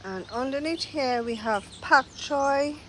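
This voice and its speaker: A woman with a nasal, stuffed-up voice gives a slow, casual tour of her garden, naming plant after plant. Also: high-pitched. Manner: slow, unhurried, pointing out plants one by one. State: nasal from a cold. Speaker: gardening vlogger. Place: outdoor garden. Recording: outdoor noise with birdsong. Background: birds singing.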